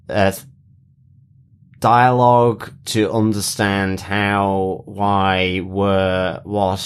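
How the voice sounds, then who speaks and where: A man's voice: lowish voice, smooth. A man co-hosting a podcast, casually reviewing a TV episode and often searching for his words. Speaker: podcast co-host.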